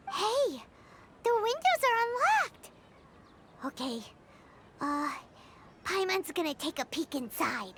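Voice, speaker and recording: A woman voicing a tiny childlike fairy companion in a video game, with a squeaky, expressive and earnest voice. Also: slight background noise, full character voice. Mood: excited, determined, then hesitant. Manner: refers to self in third person, expressive. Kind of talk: video game cartoon voice acting. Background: background music.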